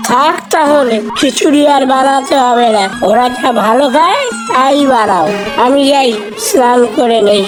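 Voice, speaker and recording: A woman voicing a food-loving family father in a cartoon, homely and expressive. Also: sits high, a little slow, over music, poor audio. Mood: sulky. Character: food-loving family father, cheerful but easily sulky. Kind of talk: cartoon dialogue.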